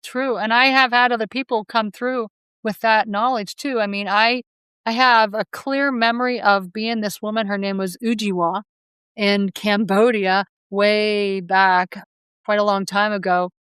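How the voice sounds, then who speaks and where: A woman's voice: medium pitch, steady tone, unhurried, fairly bright tone, clear, resonant tone, no room sound. A woman, a past life hypnotherapist, answering interview questions in a rambling, conversational way with frequent restarts and self-corrections.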